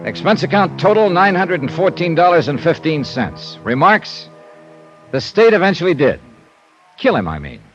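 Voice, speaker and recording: An actor playing a wry, hardboiled insurance investigator, narrating in clipped 1950s radio detective style. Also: male voice, fairly high pitch, sing-song, normal speed. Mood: dry, wry. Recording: dull old radio recording with music.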